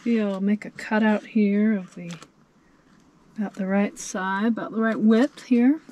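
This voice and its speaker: A woman's voice: medium pitch, fairly even intonation, unhurried, a little mellow, muffled recording, slight background noise. A woman in her thirties vlogging from inside a parked minivan camper, cheerfully thinking aloud as she cooks. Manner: chatty and cheerful, thinking aloud while cooking. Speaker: van camping vlogger. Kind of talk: casual cooking vlog. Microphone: camera mounted in the van.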